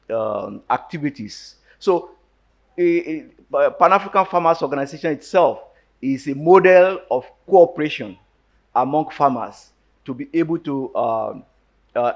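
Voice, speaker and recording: A man speaking on a panel at a farmers' forum. He pauses often with fillers and restarts his phrases. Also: medium pitch. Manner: hesitant, many fillers and restarts. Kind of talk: panel discussion answer.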